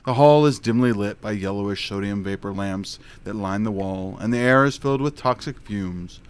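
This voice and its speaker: An actor playing a clueless, quirky dad detective, narrating in an earnest, scene-setting way for a comic audio drama. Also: male voice, on the low side, moderate pace, clear, resonant tone, natural voice. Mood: wry narration. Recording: faint music under the voice.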